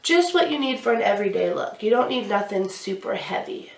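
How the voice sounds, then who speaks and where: A woman's voice: mid-range voice, lively intonation, fairly quick, creaky. Chatty young woman vlogger w a stuffy cold nose, echoey room, kinda distant mic. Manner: chatty, explaining each step while applying makeup. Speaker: beauty vlogger. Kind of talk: casual makeup tutorial. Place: small indoor room with a glittery backdrop. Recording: roomy sound, somewhat far from the mic.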